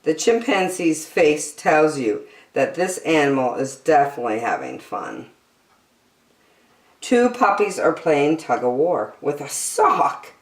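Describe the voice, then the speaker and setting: A woman's voice: sits low, big pitch swings, slow, echoey room. A woman hosting story time, reading a picture book aloud to young children expressively, with chatty asides and questions.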